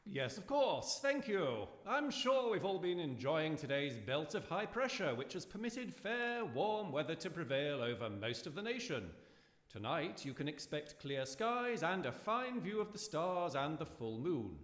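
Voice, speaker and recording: An eager vintage wireless weatherman played by a man delivers his forecast in a raised, very animated voice. He is florid, formal and polite, with dramatic flourishes. A long hall reverb follows his words. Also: a little high, measured pace. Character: eager, theatrical old-time radio weatherman, polite and florid. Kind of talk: old-time radio weather forecast. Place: live performance in a university hall.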